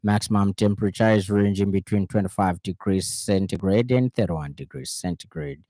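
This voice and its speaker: Male radio news anchor, Zambian accent, low mellow register, formal scripted delivery. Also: normal speed, dry recording, studio quality.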